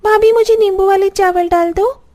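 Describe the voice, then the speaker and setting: A woman's voice: extremely high pitch, steady tone, moderate pace, warm tone. A woman voicing a cheeky young sister-in-law in a cartoon story, girlish and chirpy, fond of ordering others about. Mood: bossy, demanding. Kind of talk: Hindi cartoon story acting.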